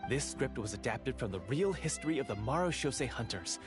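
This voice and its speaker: A man's voice: medium pitch, animated, brisk, lightly acted. A man voicing a friendly male script manager in a video game, polite and welcoming with a theatrical touch. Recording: game audio with music behind.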